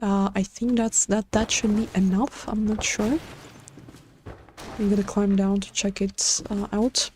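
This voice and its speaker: A woman's voice: mid-range voice, flat delivery, a little slow, a little mellow, a little hiss or hum. A woman from Latvia with a Latvian accent, chatting casually on a live stream while she builds in a game, hesitant and full of filler sounds and restarts.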